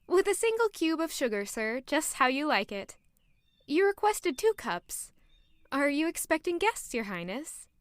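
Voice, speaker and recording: An actress playing a young female palace servant who is polite and deferential, speaking softly. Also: high-pitched, very animated, unhurried, dry recording, studio quality. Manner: polite, deferential and soft-spoken. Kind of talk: audio drama acting.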